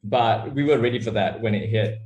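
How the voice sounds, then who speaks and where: A man who was born in Malaysia and raised in Australia, a startup founder answering interview questions in a relaxed, rambling way with many fillers. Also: mid-range voice, normal speed, dull audio. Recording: echoey room.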